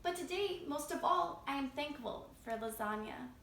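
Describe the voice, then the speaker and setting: An excited, grateful farm turkey from a children's storybook, acted out by a woman. The voice is high and very animated, exclaiming with glee and pride. The room adds clear echo. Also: normal speed, far from the mic, exaggerated voice. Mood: proud, grateful. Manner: exclaiming, gleeful. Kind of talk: children's storybook read-aloud. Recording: roomy echo, faint background noise.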